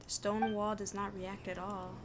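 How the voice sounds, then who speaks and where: A woman's voice: mid-range voice, little pitch movement, normal speed, background noise. A woman streaming a game, thinking aloud in informal, muttered commentary to herself, with music behind her.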